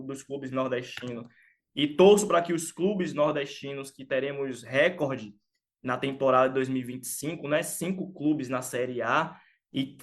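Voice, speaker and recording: A man from Salvador, co-hosting a casual sports podcast and talking with many fillers and restarts. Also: mid-range voice. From Salvador, Bahia, Brazil. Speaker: podcast co-host.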